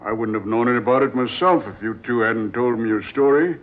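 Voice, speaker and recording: An actor playing a sober, skeptical ship's captain with a terse, pondering manner in a 1940s radio drama. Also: male voice, medium pitch, fairly quick, lightly acted. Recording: tinny, band-limited old radio broadcast.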